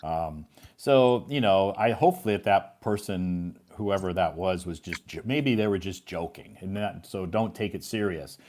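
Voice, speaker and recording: A man's voice: sits low, moderate pace, a little mellow, slight background noise. A man hosting a live English chat as a teacher, reassuring and encouraging. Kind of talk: casual chat.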